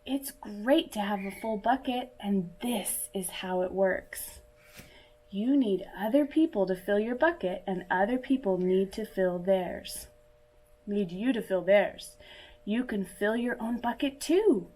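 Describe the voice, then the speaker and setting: A woman reading a picture book aloud to young children in a deliberate, teacherly manner, as if speaking directly to her listeners. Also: mid-range voice, mellow, low-quality recording. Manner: asks listeners questions and pauses for answers. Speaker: children's story reader.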